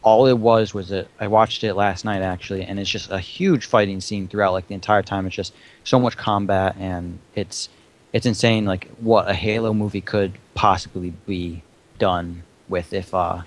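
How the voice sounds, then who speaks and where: A man with a fairly low voice talks informally as a panelist. He sounds like someone in an unscripted group chat. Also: fairly even intonation, normal speed. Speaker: panelist on a live stream. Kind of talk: casual chat.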